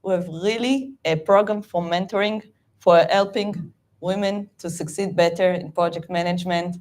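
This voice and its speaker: A middle-aged woman with an Israeli accent, a native Hebrew speaker, giving a halting, animated talk with many fillers and restarts as a guest speaker at a meetup. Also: lowish voice. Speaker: guest speaker, project management VP.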